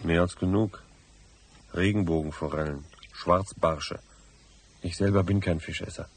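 Low, husky, terse country man. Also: steady tone, measured pace, heavily acted. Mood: gruff, subdued. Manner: terse, plain-spoken. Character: gruff rural husband, troubled caretaker of a lakeside property. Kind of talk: radio drama acting.

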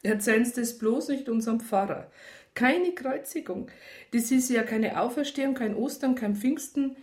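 A woman's voice: a little high, moderate pace, a little mellow, close to an everyday voice. Dry, teasing worldly woman played by an actress. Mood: dryly sceptical. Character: worldly, disillusioned, flirtatious Bavarian woman, bluntly independent. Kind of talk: naturalistic radio drama acting.

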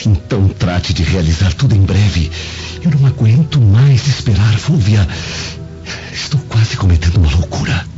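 An actor in a radio drama playing a bold, impatient, lovestruck schemer with an insistent, sardonic way of talking. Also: male voice, lowish voice, lively intonation, normal speed, close to an everyday voice. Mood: amorous, impatient. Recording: muffled radio drama, faint music.

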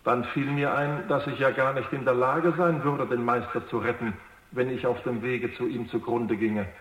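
A naive, well-mannered robot in a radio drama. The voice sits at a middle pitch and rings with echo. It speaks earnestly and deferentially, with an anxious hesitancy. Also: male voice, fairly even intonation, normal speed, fairly bright tone, muffled recording. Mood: earnest, reasoning. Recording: echoey, roomy radio drama production.

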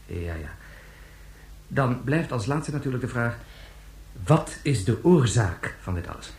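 An actor playing a polite, probing reporter in a radio drama, asking formal and slightly hesitant questions. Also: male voice, mid-range voice, very animated, a little slow, a little mellow. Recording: roomy, echoing radio drama recording.

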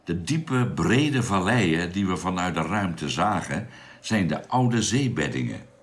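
A man acting a calm expedition doctor in a radio drama, speaking in a measured, unhurried way. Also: sits low, husky, exaggerated voice. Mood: thoughtful, interested. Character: calm male doctor, space expedition narrator and amateur archaeologist. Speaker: narrator and actor. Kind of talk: radio drama narration and dialogue.

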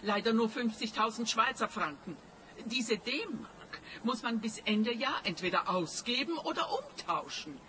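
An actress playing a cool, calculating older client in a radio play, composed and insistent. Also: female voice, on the high side, expressive, measured pace, bright, forceful tone, a little hiss or hum. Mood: explaining, evasive. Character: cool, calculating older female client, a bank robber's wife.

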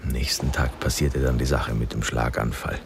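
A man playing a weary, broken, self-mocking older father in a radio drama, speaking haltingly and with resignation. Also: very deep voice, level tone, gravelly, noisy background. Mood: bitter, resigned.

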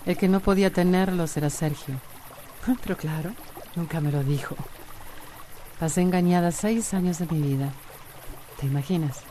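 A bold, independent Costa Rican woman from a radio drama, played by an actress. Her voice is fairly low and very mellow, reflective and unforced. There is faint noise behind her. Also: normal speed, long pauses, close to an everyday voice. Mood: wistful. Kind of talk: radio soap opera acting.

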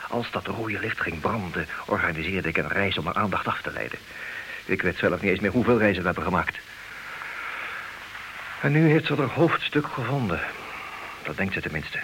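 Anxious, wry husband for vintage radio play; lively melodic range, theatrical, slight tape hiss. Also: male voice, deep voice, normal speed, pauses often, rough recording. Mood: rueful. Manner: theatrical, wry, swinging between agitated and resigned. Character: resigned, wry betrayed husband, anxious and talkative. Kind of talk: Dutch radio play acting, comic drama. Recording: hissy old radio play recording.

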